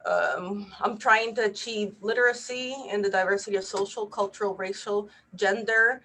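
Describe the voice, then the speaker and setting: A woman's voice: medium pitch, a bit bright, low-quality recording. Earnest Puerto Rican woman presenting. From Puerto Rico. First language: Spanish. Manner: earnest, conversational, frequent fillers. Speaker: physician panelist. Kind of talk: webinar presentation.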